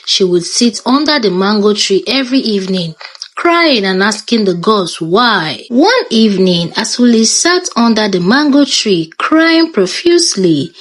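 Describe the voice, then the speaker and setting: A woman with a Nigerian accent narrating a scripted folktale in a dramatic, expressive manner. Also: mid-range voice, a little slow. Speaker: storyteller.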